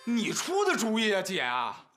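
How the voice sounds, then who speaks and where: A man's voice: high-pitched, huge pitch swings, normal speed, fairly bright tone, exaggerated voice. An actor playing an exasperated, long-suffering younger brother in his thirties in a TV family drama, pleading in rhetorical questions. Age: 30s. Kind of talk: naturalistic TV drama acting. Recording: background music under dialogue.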